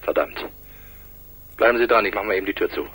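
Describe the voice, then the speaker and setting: An actor playing a brusque, sarcastic homicide inspector in a radio play, heard through a telephone. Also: male voice, lowish voice, fast talker. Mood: brusque, urgent. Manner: sardonic, forceful. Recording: noisy telephone line effect.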